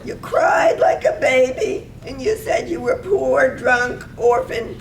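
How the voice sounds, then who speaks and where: A woman's voice: big pitch swings, slow pace, vocal fry, full character voice. A woman acting a teasing, scolding wife in a comic stage reading of a radio play, exclaiming her stories theatrically. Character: teasing, scolding wife in a Welsh village comedy. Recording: echoey, distant microphone, faint rumbling noise.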